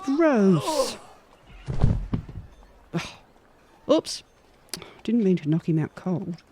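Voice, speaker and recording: Bold, mellow-voiced actress as a Wild West werewolf woman. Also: mid-range voice, very animated, drawn-out words, husky, heavily acted. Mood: wry. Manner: confident, protective, wry. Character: bold, fierce young werewolf woman prospecting in the Old West. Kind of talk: audio horror drama acting.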